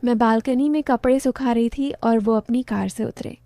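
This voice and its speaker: A woman narrating a first-person story in a steady storytelling tone. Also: a little high, moderate pace, dry recording.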